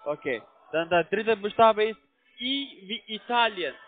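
High-pitched foreign-accented man on the phone. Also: expressive, unhurried, faint music behind. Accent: foreign, non-native German. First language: not German. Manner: repetitive, keeps checking with tag questions. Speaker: call center agent posing as IT support. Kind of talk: step-by-step phone instructions. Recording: tinny narrowband phone line.

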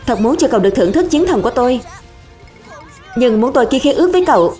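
A woman plays a cocky little dragon spirit from a children's cartoon. The voice is high and young, full of indignant bragging and sly emphasis. Music runs along with it. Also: sing-song, quick pace, warm tone. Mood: defensive, haughty. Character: small boastful, cunning young dragon spirit. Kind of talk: animated cartoon dub.

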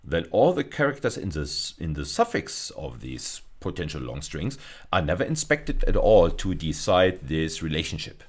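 Male lecturer, middle-aged, very low creaky voice, animated intonation, careful explanations with repeated key phrases. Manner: deliberate, repeats phrases for emphasis. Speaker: university lecturer.

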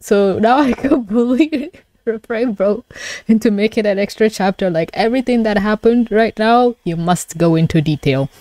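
A woman's voice: mid-range voice, moderate pace, mellow, no room sound. A woman streamer giving a casual live reaction to a webcomic, gushing and flustered, swearing freely. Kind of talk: live reaction with casual commentary and reading aloud.

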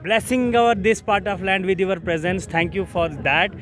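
A man asks polite, formal questions in quite a high voice, filling the pauses with many fillers. He speaks outdoors, with clear music playing behind him. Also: a bit bright. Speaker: reporter, interviewer. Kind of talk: news interview questions. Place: outdoor stadium grounds. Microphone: handheld mic held toward guest.

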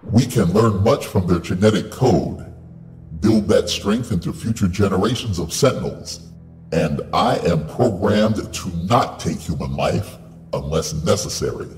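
An actor playing a giant, menacing robot overlord in an audio drama, speaking coldly and slowly. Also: male voice, sits low, a little mellow, reverberant, faint music behind. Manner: cold, slow and menacing.

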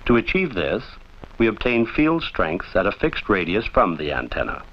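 A low male voice narrates an instructional film with lively, varied intonation. He speaks formally and with measured, didactic phrasing. The sound is that of an old training film, muffled and lacking detail.

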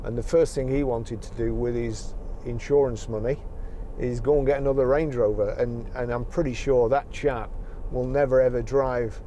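Middle-aged dealer, mellow voice, rambling chat at the wheel, faint road hum. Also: male voice, medium pitch, fairly even intonation, normal speed, hardly any pauses. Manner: chatty, rambling, many restarts. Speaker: car dealer reviewing a car. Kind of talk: casual car review while driving. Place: inside a car on the road. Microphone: car-mounted camera.

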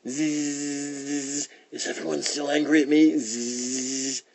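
A man's voice: on the high side, little pitch movement, fast talker, creaky, a little mellow, breathy edge, no room sound, pitched above the performer's own voice. A tiny, nervous female mosquito from a folktale, put on by a male storyteller. She buzzes and whines in a thin, small, slightly raised voice. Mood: nervous, whining. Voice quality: thin, buzzing, whiny.

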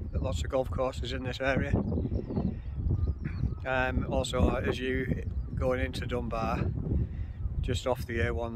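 An older man strolls outdoors and talks into a phone held at arm's length, relaxed and rambling, with plenty of uh and um. His voice stays flat and even, sitting in a middle pitch. Loud wind and open-air noise roar across the phone mic. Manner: relaxed, chatty, lots of uh and um fillers. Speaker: caravanning vlogger. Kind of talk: casual walking vlog. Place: outdoors on a windy clifftop campsite road. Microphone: phone selfie camera at arm's length while walking. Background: wind and rural outdoor ambience.

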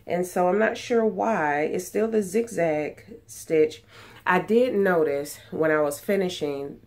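Low-voiced woman with a stuffy cold. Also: slow, a little mellow. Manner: chatty, rambling, many ums and restarts. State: congested from a cold, nasal. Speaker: sewing vlogger. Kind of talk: casual chat. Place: small room at home, indoors. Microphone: webcam at desk distance.

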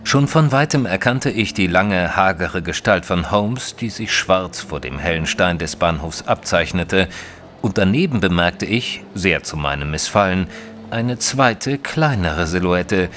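A man playing a reserved Victorian gentleman, a detective's companion, narrating an audio drama in a measured, formal way. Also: deep voice, animated, a little hiss or hum. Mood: measured, descriptive.

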